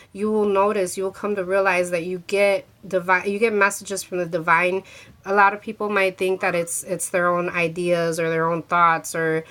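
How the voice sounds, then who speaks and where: A woman with a slightly low voice talks in a loose, rambling way to her viewers. Her voice sounds stuffy and congested from a lingering cold, and she circles back on herself with ums and restarts. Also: bright, forceful tone. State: congested from a cold, sore throat. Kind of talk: casual talk to camera showing a handmade ring.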